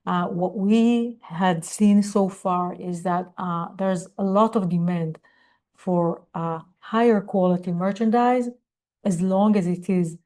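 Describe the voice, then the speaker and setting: A woman company executive presenting on an earnings call in a formal, measured business manner. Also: fairly low pitch, dark tone.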